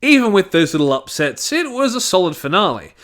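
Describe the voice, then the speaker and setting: A man's voice: high-pitched, sing-song, brisk, fairly bright tone. A man giving a scripted review in an opinionated way, with jokey asides. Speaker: audio drama reviewer.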